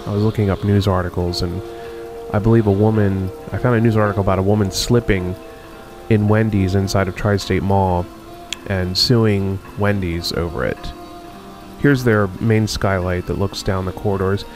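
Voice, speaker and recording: A man casually narrating a walkthrough of a rundown shopping mall, musing and unhurried with many pauses and fillers. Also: low voice, steady tone, music in the background. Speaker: video host touring a closed-down mall.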